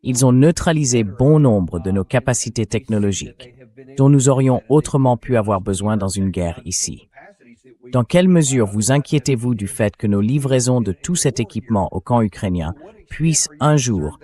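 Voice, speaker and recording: A man acts as the host of a political interview. He speaks in measured, articulate phrases at a medium pitch, in the synthetic voice of a dubbed translation. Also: expressive, warm tone.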